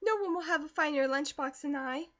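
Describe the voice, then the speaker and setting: An eager teenage farm girl, high and breathless, gushing with every phrase, as a woman reading a storybook puts her on. The recording is dull and lo-fi. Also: fairly quick, a bit bright. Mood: overjoyed. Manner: breathless, effusive.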